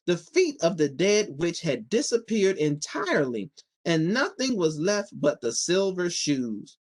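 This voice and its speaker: A man narrates a children's storybook as a read-aloud storyteller. His delivery is animated and expressive, with pitch that moves freely up and down through each sentence. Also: measured pace. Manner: animated, changes voice for each character. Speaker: library storyteller reading aloud.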